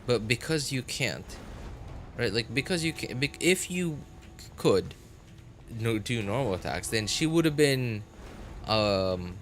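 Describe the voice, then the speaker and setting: A man's voice: mid-range voice, animated, slow pace, pauses often, a bit bright, faint music behind. A man casually chatting with viewers while he plays on a livestream, rambling and rephrasing himself as he goes. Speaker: game livestreamer. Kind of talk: casual chat with live stream viewers while gaming.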